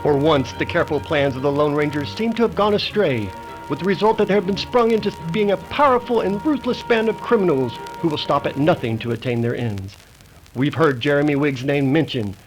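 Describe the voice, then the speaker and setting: A man's voice: on the high side, fairly quick, soft background music, lightly acted, low-quality recording. A man delivering dramatic scripted narration as the announcer of an old-time radio western. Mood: solemn.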